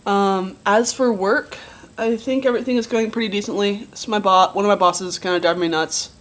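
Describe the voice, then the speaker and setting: A young man with a relatively low voice giving a casual video diary update, rambling with many ums and likes. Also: fairly even intonation, brisk, fairly bright tone. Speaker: vlogger.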